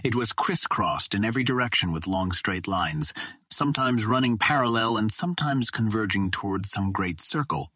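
A man narrates an old adventure novel in a steady, measured way. His voice sits on the low side and carries a husky grain. The recording is close and dry, with no room sound. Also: no room sound. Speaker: audiobook narrator. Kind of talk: scripted narration.

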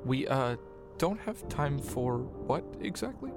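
An actor playing an earnest, flustered colonial New England reverend in a period audio drama, stammering and politely formal. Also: male voice, mid-range voice, big pitch swings, takes it slowly, over loud music. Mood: puzzled, polite.

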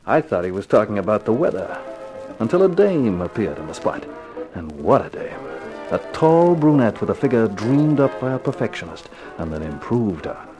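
A man's voice: lowish voice, big pitch swings, moderate pace, low-quality recording. An actor playing a cool, wisecracking private eye in a 1950s radio detective drama, terse and wry in his slangy delivery. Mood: moody narration. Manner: terse, wry, slangy tough-guy talk. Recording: vintage 1950s radio recording with music.

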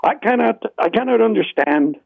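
A man's voice: high-pitched, lively intonation, normal speed, vocal fry, no echo. A man with an Irish accent calling in to a radio show, speaking emphatically and insistently. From Ireland. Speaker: caller. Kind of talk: phone-in opinion. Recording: phone line.

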